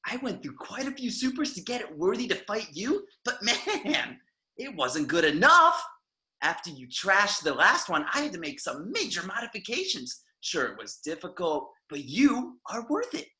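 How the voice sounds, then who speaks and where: A man's voice: high-pitched, huge pitch swings, moderate pace, pushed and bright, exaggerated voice. Man playing a manic, swooping young supervillain. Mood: gloating. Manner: theatrical, boastful monologuing. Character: gloating, manic young male supervillain with a grudge. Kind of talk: online table read of a film script.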